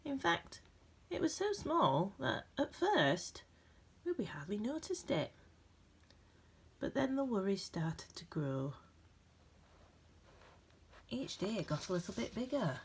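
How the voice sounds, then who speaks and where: A woman reading a children's picture book aloud with gentle, expressive storytelling emphasis. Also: mid-range voice, takes it slowly, long pauses, slight background noise. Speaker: storybook reader.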